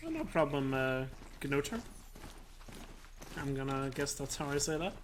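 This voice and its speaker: A man's voice: mid-range voice, animated, measured pace, a little hiss or hum. Chatty Danish-accented man streaming a speedrun. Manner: chatty, laughs often, swears at his mistakes. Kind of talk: casual live-stream commentary. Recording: stream mic with game sounds behind.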